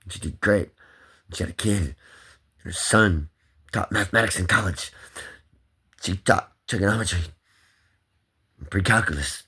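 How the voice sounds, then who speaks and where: Man, husky voice, rambling monologue, off-topic swearing. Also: deep voice, normal speed, long pauses, bright, forceful tone.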